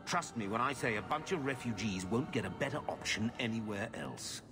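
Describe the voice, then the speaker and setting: An actor playing a shifty, weary middle-aged uncle in a video game, gruff and evasive. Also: male voice, mid-range voice, animated, a little fast, natural voice. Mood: grudging, persuasive. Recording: music playing behind the voice.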